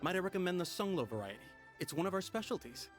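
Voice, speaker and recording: A man's voice: sits high, very animated, fast. A man playing a slick, eager merchant pushing deals in a video game, with music behind him. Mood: eager, persuasive. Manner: eager salesman patter with upsells. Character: slick smooth-talking male tea merchant pushing deals. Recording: music under the voice.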